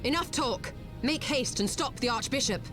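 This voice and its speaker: Decisive fantasy heroine over music. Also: female voice, sits high, animated, normal speed. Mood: urgent, determined. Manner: commanding, formal. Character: brisk, decisive woman ally in a fantasy game. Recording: studio game dialogue under music.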